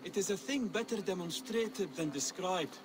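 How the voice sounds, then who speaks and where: An actor playing an earnest young assassin mentor in a video game, speaking in measured, formal, instructive phrases. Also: male voice, high-pitched, close to an everyday voice. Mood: calm, teaching. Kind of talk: video game cutscene acting.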